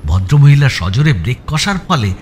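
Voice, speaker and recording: A man with a West Bengal Bengali accent narrates a first-person suspense audiobook in an expressive, measured storytelling manner with deliberate pauses. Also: mid-range voice, a little hiss or hum. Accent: Bengali from West Bengal, India. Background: music.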